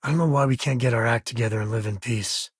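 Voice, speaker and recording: An actor playing a rugged, easygoing middle-aged space explorer and father in a video game, with a husky, folksy, wry, conversational delivery. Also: medium pitch, quick pace, no room sound, clean studio sound, natural voice. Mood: reflective, earnest. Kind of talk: video game companion dialogue.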